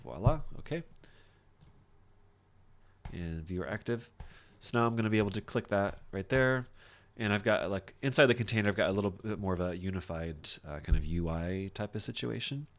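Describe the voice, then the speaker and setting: A man teaching software in a casual screen-share tutorial, thinking aloud informally as he demonstrates each step. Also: lowish voice, moderate pace. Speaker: software instructor.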